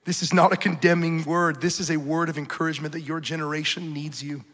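A man's voice: a little high, little pitch movement, quick pace, a bit bright. A man in his thirties preaching an urgent, emphatic sermon in a large church hall. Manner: urgent, emphatic, rhetorical repetition. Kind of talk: passionate sermon. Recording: reverberant hall.

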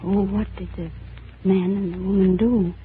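A woman's voice: mid-range voice, steady tone, normal speed, a little hiss or hum, natural voice. Actress as Kitty, wistful young woman, vintage radio. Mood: tender, dreamy. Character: Kitty, wistful working-class young woman in love with her boss. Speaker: actress narrating and playing lead. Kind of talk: 1940s radio drama acting. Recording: tinny vintage radio broadcast, music behind.

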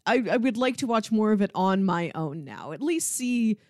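A woman's voice: medium pitch, animated, normal speed, a little mellow, dry recording, clean studio sound. A woman chatting casually as a podcast co-host, explaining things in an articulate, enthusiastic way. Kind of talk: casual chat about sitcoms.

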